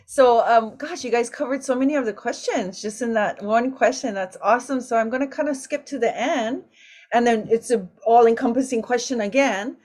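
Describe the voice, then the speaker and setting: A woman's voice: on the high side, normal speed. Woman hosting a talk story. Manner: warm, emotional storytelling with Hawaiian words mixed in. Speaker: roundtable host and mother.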